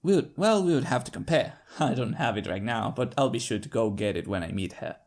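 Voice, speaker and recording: A man's voice: mid-range voice, animated, fairly quick, creaky, a little mellow. A man voicing a polite, flustered and evasive pony clockmaker in a cartoon fan story.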